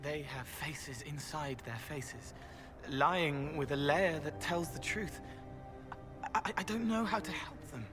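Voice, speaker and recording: An actor playing a strange, gentle, haunted young empath in a fantasy game, speaking in dreamy, riddling fragments. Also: male voice, a little high, slow, over music. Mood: distracted, troubled. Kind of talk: fantasy video game dialogue acting.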